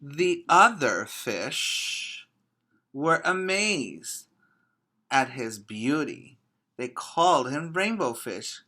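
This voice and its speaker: A man, a preschool teacher, reading a picture book aloud expressively and addressing young children directly in simple, deliberate phrases. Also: fairly high pitch, very animated, sharp tone.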